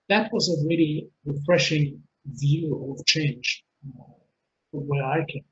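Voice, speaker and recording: Israeli-accented man giving a webinar talk. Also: fairly high pitch. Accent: Israeli, mixed with Texan, Scottish and London. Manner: earnest, many hesitations and fillers. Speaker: webinar speaker and coach. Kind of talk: presenting a pre-recorded webinar. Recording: dull lo-fi webinar audio, faint hiss.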